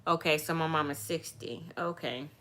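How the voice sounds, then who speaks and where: A woman vlogger chats with her viewers in a loose, rambling way. Her voice sits a little low for a woman, and her candid talk often turns soft and heartfelt. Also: normal speed, slight background noise. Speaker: vlogger answering viewer questions. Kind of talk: casual livestream chat.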